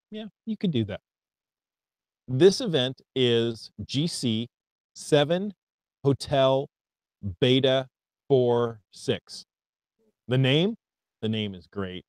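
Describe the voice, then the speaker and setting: A man's voice: mid-range voice, dry recording. Upbeat American man co-hosting a podcast. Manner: upbeat, reads listener emails aloud, stumbles on foreign words. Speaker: podcast co-host. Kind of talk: casual podcast chat.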